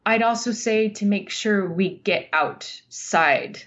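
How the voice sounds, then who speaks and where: A middle-aged woman speaking earnestly and thoughtfully as an interview guest, telling stories in halting phrases. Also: medium pitch, fairly bright tone, lo-fi sound. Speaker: podcast guest, writer. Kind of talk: reflective interview conversation, storytelling.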